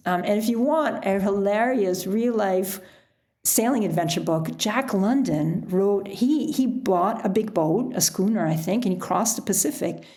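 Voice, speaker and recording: A woman talking casually as an interview guest, telling stories in an animated way with asides. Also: mid-range voice. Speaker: podcast guest, author.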